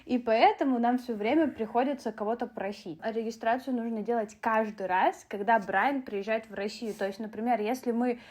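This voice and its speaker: A woman's voice: a little high, fairly quick, few pauses, darkish tone. A young woman from Russia talking in a chatty, casual vlog style, with fillers and asides. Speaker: beauty vlogger.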